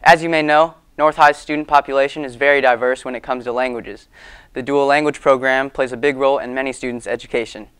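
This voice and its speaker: A teenage student anchor introducing news stories from a script. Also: male voice, medium pitch, fairly even intonation, quick pace, a bit bright, smooth.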